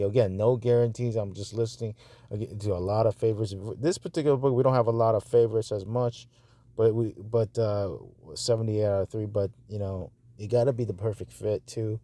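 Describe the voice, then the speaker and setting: A man talking casually and off the cuff as he reviews narrator auditions, halting and repetitive, with a reassuring manner. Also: fairly low pitch, dark tone, no room sound.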